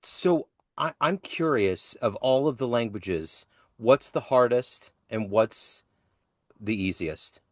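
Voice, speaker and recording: A man's voice: medium pitch, expressive, takes it slowly, no room sound, studio quality. A man hosting a podcast interview, asking brief, curious questions.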